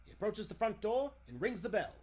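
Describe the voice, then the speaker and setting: A man's voice: high voice, big pitch swings, fairly quick, darkish tone, slightly rough. A man announcing and narrating in the emphatic, theatrical style of a 1940s radio show.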